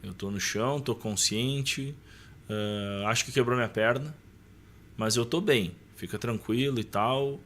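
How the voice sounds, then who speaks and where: A man's voice: mid-range voice, unhurried, fairly bright tone. A man with a southern Brazilian accent casually telling a personal story as a podcast guest, acting out dialogue as he goes.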